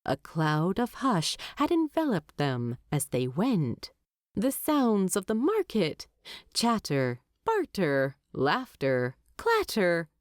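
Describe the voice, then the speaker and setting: A woman narrating fiction from a script as an audiobook narrator, in a measured, expressive storytelling manner. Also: on the low side, dry recording, studio quality.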